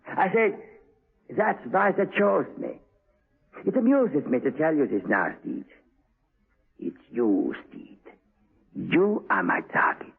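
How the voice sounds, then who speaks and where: A man's voice: a little high, sing-song, vocal fry, slightly rough, exaggerated voice. A man acting a proud, taunting captive enemy agent in a 1970s radio drama, with a menacing manner. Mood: cold menace. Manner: slow-burning, taunting, menacing. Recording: muffled, tinny old radio recording.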